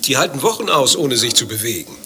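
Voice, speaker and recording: Prim, nervous man, very sharp voice. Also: medium pitch, very animated, fast, a little hiss or hum, full character voice. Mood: wary, curious. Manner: fussy, stiffly formal, uneasy. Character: prim, touchy, nervous, formally dressed male zoo visitor. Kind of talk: radio play dialogue.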